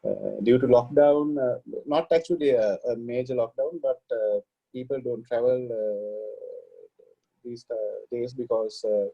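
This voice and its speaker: A man's voice: mid-range voice, steady tone, unhurried, mellow. A man from Sri Lanka, a podcast guest on a video call, speaking hesitantly with many fillers. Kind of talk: casual video-call interview. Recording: dull lo-fi sound.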